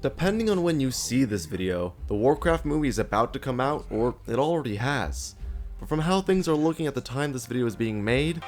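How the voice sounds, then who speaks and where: Man, mid-pitched voice, casual scripted commentary, sarcastic rhetorical asides, music underneath. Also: animated, fairly quick. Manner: sarcastic, rhetorical questions answered by himself. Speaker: video essay host and narrator. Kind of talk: scripted narration in a casual, conversational video essay.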